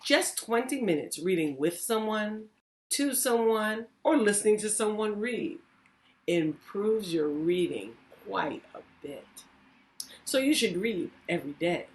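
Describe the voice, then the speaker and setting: A woman hosting storytime, reading a children's story aloud with expressive, deliberate phrasing. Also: mid-range voice. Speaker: storytime host, reading teacher.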